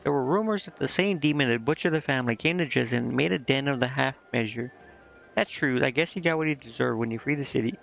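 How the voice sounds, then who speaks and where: A man's voice: mid-range voice, quick pace, gravelly, a bit bright. A man doing casual live game commentary, informally thinking aloud and reading dialogue out as he goes. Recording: dull, band-limited sound with music. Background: game music.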